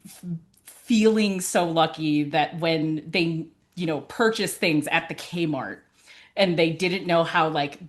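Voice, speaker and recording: A woman's voice: sits low, takes it slowly. Laughing young woman telling stories. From California. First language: Tagalog. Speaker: podcast guest, college advisor. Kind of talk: casual interview chat.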